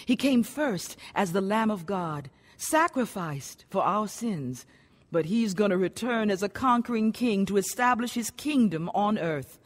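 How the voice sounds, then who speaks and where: An actress playing a devout, authoritative Bible study teacher, solemn and instructive, in a radio drama. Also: female voice, mid-range voice, animated, unhurried, long reverb tail.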